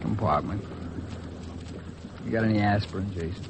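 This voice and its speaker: A man's voice: on the low side, normal speed, close to an everyday voice. An actor playing a sardonic, hungover American man with a wry, grumbling delivery in a 1950s radio drama. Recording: noisy vintage 1950s radio recording.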